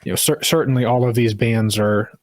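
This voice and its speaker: A man's voice: medium pitch, steady tone, a little fast, no echo. A man co-hosting a casual podcast about gun laws, rambling with many restarts and fillers. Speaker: podcast co-host. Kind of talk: casual political chat.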